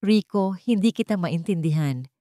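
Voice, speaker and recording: A woman's voice: fairly low pitch, animated, normal speed, dark tone, no room sound, clean studio sound, close to an everyday voice. A woman voicing an innocent, frightened young wife in a dramatic story, with a pleading manner. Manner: trembling, pleading.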